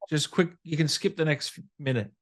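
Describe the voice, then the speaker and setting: A man's voice: fairly high pitch, moderate pace. An Australian man hosting a casual interview in an enthusiastic, informal and teasing way. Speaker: podcast host, interviewer. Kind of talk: casual chat.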